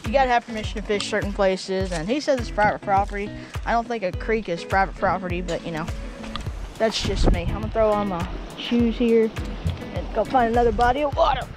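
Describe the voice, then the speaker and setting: A woman's voice: medium pitch, a little fast, over music. A young woman vlogging while fishing by a creek, chatting straight to her viewers through a handheld action camera. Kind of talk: casual outdoor vlog talk. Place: outdoors by a creek. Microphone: action camera held at arm's length.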